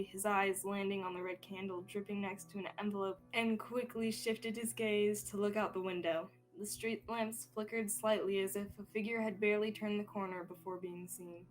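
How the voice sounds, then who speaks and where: A woman's voice: medium pitch, low-quality recording. A young woman reading scripted narration as the storyteller of a student radio drama. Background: soft music.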